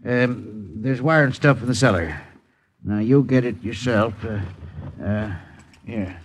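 A man's voice: lowish voice, takes it slowly, breathy edge, full character voice. An American man acting a nervous, uneducated, easily riled landlord in a 1950s radio drama, hesitant and defensive. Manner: hesitant, defensive, plain-spoken. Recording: 1950s radio broadcast recording.

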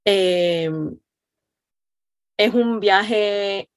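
A woman from Puerto Rico with a Puerto Rican Spanish accent, a meditation instructor introducing herself calmly on a podcast, with frequent fillers. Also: mid-range voice, very slow, no echo, clean studio sound. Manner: calm, gentle, with frequent fillers.

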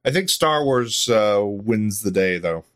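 Lower-pitched man chatting casually. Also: lively intonation, a little slow, a bit bright, no echo, studio quality. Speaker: podcast guest, audio drama producer. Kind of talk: casual chat.

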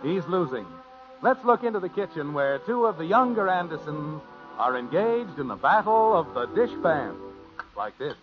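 A man's voice: on the high side, big pitch swings, moderate pace, faint music behind. An American man announcing and narrating a 1950s radio show in a mock-dramatic storytelling manner. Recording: muffled old radio recording.

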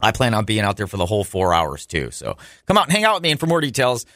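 A man's voice: on the low side, huge pitch swings, fast talker, a bit bright, no echo. Dry-sounding American man hosting sports radio. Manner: chatty, informal, opinionated. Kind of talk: casual sports talk radio commentary. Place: radio studio.

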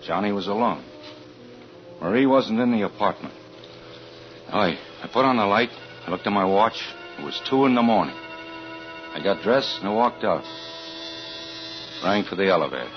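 An actor playing a troubled married insurance investigator, confessing haltingly in 1940s radio suspense style. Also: male voice, on the low side, expressive, close to an everyday voice. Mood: anxious. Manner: halting, hesitant confessional narration. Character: troubled married insurance investigator recounting his story, anxious. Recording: muffled vintage radio recording with music.

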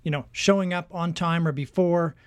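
Easygoing male co-host from Canada, fairly high voice, dry sound. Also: steady tone, moderate pace, clear, resonant tone, no room sound. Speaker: show co-host. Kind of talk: casual talk show chat.

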